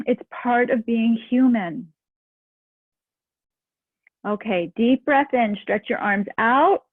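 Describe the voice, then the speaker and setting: A woman leading a guided meditation and breathing practice, speaking softly and soothingly. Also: on the high side, slightly rough, no room sound. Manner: slow, soft and soothing. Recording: dry, phone-like sound.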